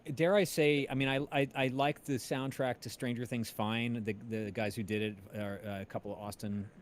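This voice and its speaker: A man hosting a casual interview, enthusiastic but halting as he circles toward his point. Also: mid-range voice, steady tone. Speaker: podcast host and interviewer. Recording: clearly noisy ambient background.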